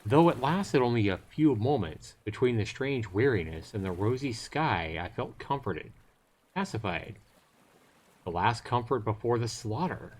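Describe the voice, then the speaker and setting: A man's voice: medium pitch, big pitch swings, measured pace, darkish tone. A man streaming a visual novel, reading it aloud with joking, casual asides. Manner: jokey, bantering asides between read passages. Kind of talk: live game read-aloud with casual banter.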